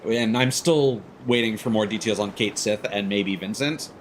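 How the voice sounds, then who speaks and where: A man's voice: fairly low pitch, moderate pace, a little hiss or hum. A man hosting a game livestream, giving rambling, opinionated explanations in a casual, chatty way.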